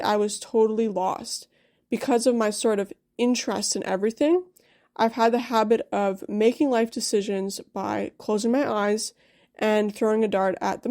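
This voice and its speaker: A woman's voice: mid-range voice, a little mellow. A young woman from Quebec telling her personal story to camera in a candid, chatty way, with frequent asides like "you know?". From Quebec, Canada. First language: English. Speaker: dental hygienist vlogger.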